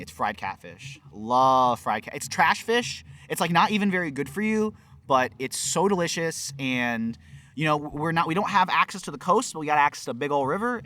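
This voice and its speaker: A young man from China giving a casual interview, quick and animated, with a self-mocking humor. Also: on the high side. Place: outdoor festival.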